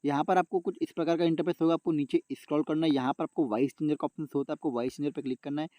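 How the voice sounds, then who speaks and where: A man's voice: a little high, steady tone, very fast, mellow, no echo. A man with an Indian accent hosting a casual how-to tutorial, repetitive and often addressing his viewers as guys. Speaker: YouTube tech tutorial host.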